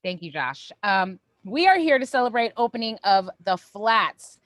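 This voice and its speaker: A woman's voice: mid-range voice, sing-song, moderate pace, fairly bright tone, dry recording. A woman co-hosting a theatre company's online show, giving casual, upbeat announcements to viewers in an informal way.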